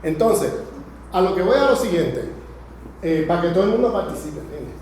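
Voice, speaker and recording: A man lectures to a class in a high-pitched voice. His delivery is emphatic and didactic, improvised, with frequent short check-in questions. The room is strongly echoey, with faint noise behind him. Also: lively intonation, normal speed, darkish tone.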